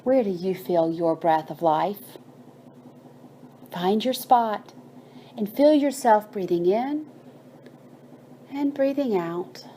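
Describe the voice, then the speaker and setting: A woman guides a bedtime story and meditation in a mellow, mid-pitched voice. She takes her time, stretching phrases out in a calming, measured way. There is some steady noise behind her. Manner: soothing, measured, with drawn-out phrases.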